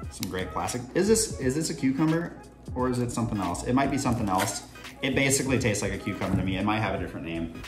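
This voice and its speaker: A young man chatting casually while he cooks in a home kitchen, rambling with playful asides. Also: on the low side. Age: young adult. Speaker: home cooking vlogger. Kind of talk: casual cooking vlog chat. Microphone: camera on the counter, moving around the kitchen. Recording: clear background music under the voice.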